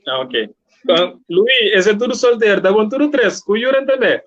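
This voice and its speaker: Guy hosting a casual live chat interview, voice a bit high, kinda muffled stream audio. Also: expressive, fairly quick, a bit bright. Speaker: interview host.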